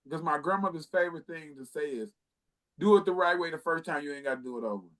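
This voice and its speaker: A man's voice: fairly high pitch, fast talker, a bit bright. An American man in his late thirties, a talk show guest telling personal stories in an emphatic, repetitive way and acting out what people said. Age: late 30s. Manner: emphatic, repeats phrases, acts out quoted speech. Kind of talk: animated personal storytelling.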